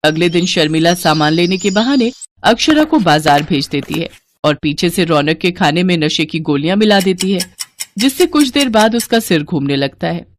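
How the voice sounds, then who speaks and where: A woman's voice: fairly low pitch, lively intonation, moderate pace, slight background noise. A woman storyteller reading a scripted narration of a moral tale.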